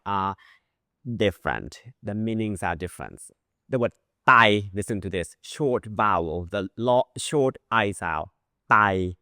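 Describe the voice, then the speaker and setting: A man teaching a language lesson who says sounds slowly and repeats them as examples. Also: lowish voice, bright, forceful tone, clean tone, no room sound, clean studio sound. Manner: modeling pronunciation with drawn-out sounds.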